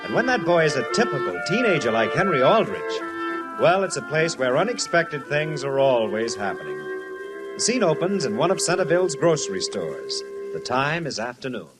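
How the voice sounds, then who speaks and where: A man's voice: fairly high pitch, big pitch swings, moderate pace, rough recording. A man with an American accent delivering scripted narration as an old-time radio announcer. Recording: vintage radio recording with music underneath. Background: loud music.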